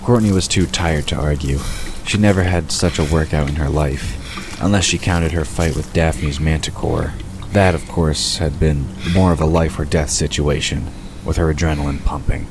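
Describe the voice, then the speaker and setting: A man's voice: rumbling low, steady tone, moderate pace. A man reading scripted story narration as the narrator of an audio drama. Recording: constant ambience noise behind narration.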